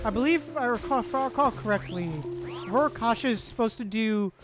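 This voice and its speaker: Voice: medium pitch, a little fast. A game streamer giving casual live commentary, repeating phrases and muttering with offhand swearing. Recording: game music behind the voice. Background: game music.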